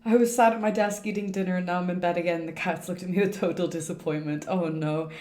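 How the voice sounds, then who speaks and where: A woman's voice: on the low side, steady tone, fast talker, a little mellow. Chatty woman streamer in an echoey room. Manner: chatty, rambling, thinking aloud. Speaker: game streamer. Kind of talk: casual playthrough commentary. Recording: echoey room, faint game music. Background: faint game music.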